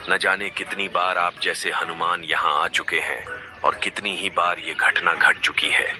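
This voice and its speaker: A man voicing a calm, cryptic sage in a devotional cartoon, sounding certain and mildly dismissive. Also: low-pitched, measured pace, music in the background. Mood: calm, knowing. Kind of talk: animated devotional story dialogue.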